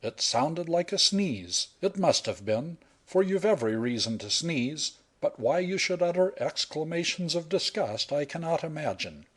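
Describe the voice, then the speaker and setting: A man's voice: on the high side, sing-song, breathy edge, voice pushed up. A man reading aloud and voicing an unruffled young man who teases his sweetheart with dry humour. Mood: teasing. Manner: unhurried, dry, wry.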